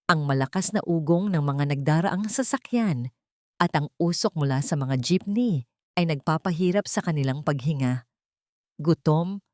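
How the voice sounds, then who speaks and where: A woman's voice: low voice, a little mellow, no echo, studio quality. A woman narrating a scripted audio drama in a dramatic storytelling style.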